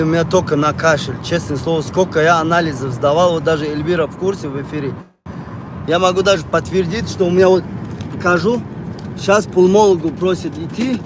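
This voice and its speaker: A man from Tajikistan, chatting casually and ramblingly with viewers on a livestream. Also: on the high side. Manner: rambling, conversational, repeats phrases. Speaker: live streamer. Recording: noisy outdoor or car street noise.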